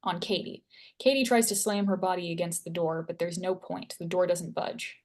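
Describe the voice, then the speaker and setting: A woman serves as narrator at a screenplay table read. She reads scene headings and action lines in a slightly low voice. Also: normal speed, darkish tone. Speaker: stage directions narrator.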